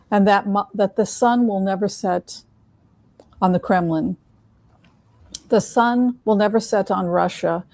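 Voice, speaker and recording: A woman hosting a casual livestream as a psychic reader, talking in a halting, repetitive way. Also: mid-range voice, darkish tone, dry recording. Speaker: host, psychic tarot reader.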